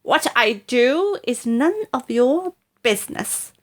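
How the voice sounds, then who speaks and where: A woman storyteller acts out an old grandmother from a children's storybook. She is cranky and imperious, pitched high, and she scolds and exclaims in a forceful, loud way. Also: lively intonation, takes it slowly. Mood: snappish, bossy.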